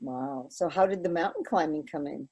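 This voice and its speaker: A woman's voice: low-pitched, moderate pace, darkish tone, husky. A woman hosting an interview show, reading a guest introduction with small stumbles. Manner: scripted, slightly stumbling delivery. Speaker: podcast host.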